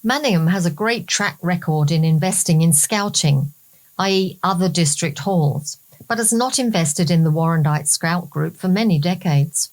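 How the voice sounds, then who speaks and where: A woman reads prepared text for a community bulletin. Her voice is on the low side for a woman, and the wording is formal and scripted. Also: moderate pace, darkish tone, poor audio. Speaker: reader of community bulletin stories. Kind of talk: scripted reading of a formal question.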